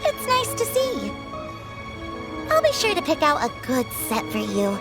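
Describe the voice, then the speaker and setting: A polite, smooth-talking woman antique seller from a video game speaks with courteous flattery. Her high voice moves in lively swoops over clearly heard music. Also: measured pace, full character voice. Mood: gracious. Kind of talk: video game character acting.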